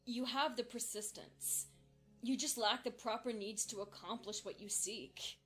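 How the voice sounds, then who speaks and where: Assured young mare mentor acted by a woman, fairly high voice, earnest, consoling, cartoon fan drama, faint music. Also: measured pace, fairly bright tone. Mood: encouraging. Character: confident, sympathetic young mare unicorn mentor. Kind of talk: animated fan fiction audio drama.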